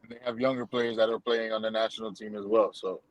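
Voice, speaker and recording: A man's voice: fairly low pitch, flat delivery, fast, poor audio. A man on a podcast, chiming in casually to explain background for listeners.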